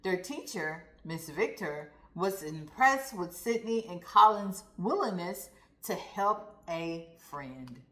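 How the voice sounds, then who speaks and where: A woman reading a story aloud to children in an expressive, deliberate manner, speaking directly to young listeners. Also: on the low side. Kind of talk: read-aloud storytime narration. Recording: roomy, echoey sound.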